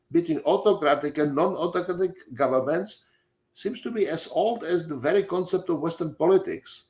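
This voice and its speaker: A man's voice: a little high, a little mellow. Older man with a Czech accent. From Czech Republic. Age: 70s. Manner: halting, many fillers and restarts. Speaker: lecturer. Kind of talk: academic lecture.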